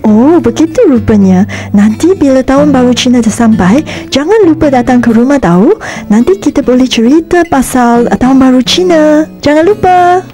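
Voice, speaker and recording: A polite, curious cartoon girl. Her voice is high yet mellow, friendly and questioning, with faint music underneath. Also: animated, normal speed. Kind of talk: cartoon voice acting.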